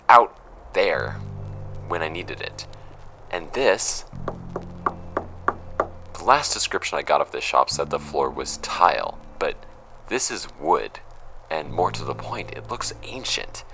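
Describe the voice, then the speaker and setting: Dude voicing a blunt young interviewer, deep bright voice, lots of pitch swing. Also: male voice, full character voice. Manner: blunt, pointed questioning. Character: blunt, suspicious young male investigator. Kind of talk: audio drama acting.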